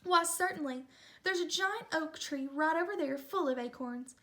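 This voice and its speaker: A great horned owl from a picture book, performed by a woman. It hoots loudly in a high voice, with a questioning, knowing manner. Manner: loud, hooting, drawn-out.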